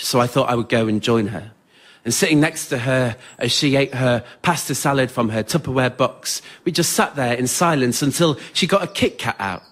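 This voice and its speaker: A man's voice: mid-range voice, fairly bright tone. A man performing a scripted comic monologue on a theatre stage for radio, in an understated, self-deprecating, earnest way. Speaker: writer-performer storyteller. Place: theatre stage before an audience.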